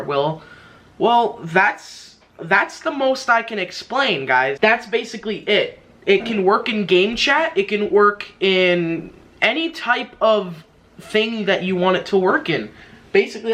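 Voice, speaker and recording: A man's voice: a little high, pushed and bright. A young man chatting informally to viewers as a YouTuber giving a tutorial, rambling and repeating himself a little. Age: young adult. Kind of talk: casual tutorial chat to viewers.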